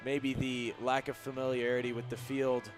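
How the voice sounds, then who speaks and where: A man's voice: mid-range voice, completely flat delivery, moderate pace, over music. A man giving conversational, explanatory color commentary on a live baseball radio broadcast. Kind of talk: live sports analysis.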